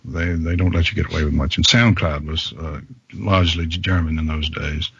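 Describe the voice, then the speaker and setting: Man, heavily rasping voice, low pitch, casual storytelling guest, slightly lo-fi sound. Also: fairly even intonation, fairly quick, fairly bright tone, no echo. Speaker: podcast guest, fan fiction reader. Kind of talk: casual anecdotal interview answer.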